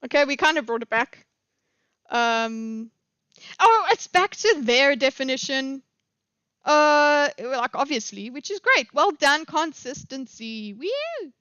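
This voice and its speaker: A woman's voice: high voice, very animated, slow pace, sharp tone, no room sound, lo-fi sound. A woman with a South African accent, commenting on a live stream in a rambling way, with many fillers and restarts.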